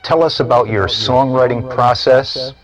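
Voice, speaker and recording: A man's voice: medium pitch, unhurried. A man interviewing someone casually in a studio. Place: equipment-packed studio. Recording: dull lo-fi tape, music behind. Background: music.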